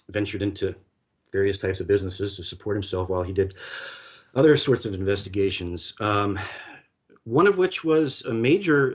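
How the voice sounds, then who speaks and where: A man telling a long personal story in casual conversation, rambling and full of fillers and restarts. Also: fairly low pitch.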